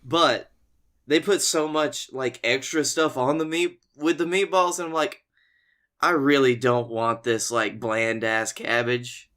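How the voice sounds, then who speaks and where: A man's voice: medium pitch, fairly even intonation, measured pace, bright, forceful tone. An American man with a mid-range voice, co-hosting a comedy podcast. He tells stories in a loose, rambling, conversational way and swears casually along the way. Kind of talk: casual comic storytelling chat.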